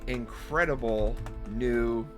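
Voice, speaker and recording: A man giving casual playthrough commentary, incredulous and joking, often halting as he types. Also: mid-range voice, a bit bright, faint music behind. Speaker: streamer playing an AI storybook game.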